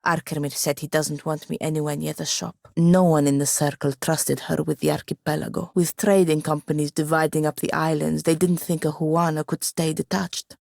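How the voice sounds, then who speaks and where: Young female wizard apprentice, low creaky voice, sardonic, haughty, precise, fantasy game dialogue. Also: flat delivery, moderate pace, hardly any pauses, dry recording. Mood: dry, frank. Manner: dry, haughty, precise, sharp-tongued. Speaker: video game companion character.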